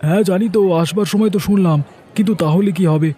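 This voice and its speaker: A man voicing a chatty friend at a fish market in a cartoon, raised higher than his usual voice. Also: fast talker, mellow, some chatter behind, close to an everyday voice.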